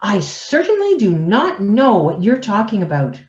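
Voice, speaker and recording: A woman's voice: mid-range voice, big pitch swings, a little slow. Radio drama wife, female actor: lonely, anxious, theatrically proper, recorded dull in an echoey room. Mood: guarded, plaintive. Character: anxious, lonely middle-aged wife with a secret.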